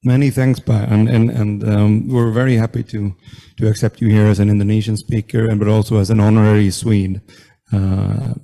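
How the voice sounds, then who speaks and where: A man moderating a panel event, giving formal opening remarks in a halting way, full of fillers and restarts. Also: fairly low pitch, level tone, vocal fry, warm tone. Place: event room with audience.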